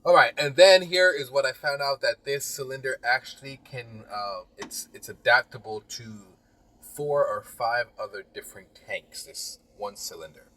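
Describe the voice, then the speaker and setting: A man's voice: medium pitch, moderate pace, bright, forceful tone, distant mic. A man who is a plumber, giving a rambling, teasing voice-over for a do-it-yourself repair video and talking straight to the viewer. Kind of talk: joking DIY voice-over. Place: small bathroom.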